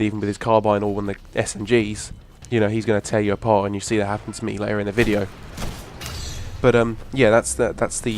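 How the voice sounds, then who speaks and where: A hoarse young man, rambling casually through a video game weapon review. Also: fairly low pitch, a little hiss or hum. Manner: rambling, restarts a lot, casual swearing. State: hoarse from a cough. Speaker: gaming channel host. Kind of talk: casual gameplay commentary.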